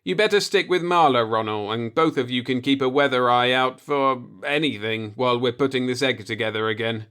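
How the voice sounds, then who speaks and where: A man's voice: medium pitch, fairly quick, hardly any pauses, fairly bright tone, clear, resonant tone, close to an everyday voice. A man voicing a practical, blunt male spaceship crewman who takes charge, in a sci-fi audiobook. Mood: firm, explaining. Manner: blunt, matter-of-fact. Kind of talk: storybook dialogue in a sci-fi audiobook.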